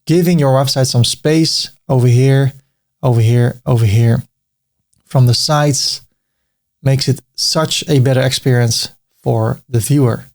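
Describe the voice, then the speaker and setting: A man's voice: mid-range voice, steady tone, a little slow, gravelly, darkish tone. A man with a Dutch accent, talking through a web design tutorial as he clicks, thinking aloud, repeating words and slipping in dry jokes. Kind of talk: screen-recorded software tutorial.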